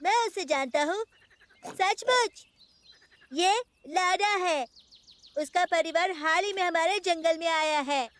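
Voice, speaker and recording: A woman's voice: extremely high pitch, slow, pauses often, a bit bright, heavily acted. A woman voicing a friendly, encouraging young boy animal cub in a children's cartoon, with a childlike manner. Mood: informative.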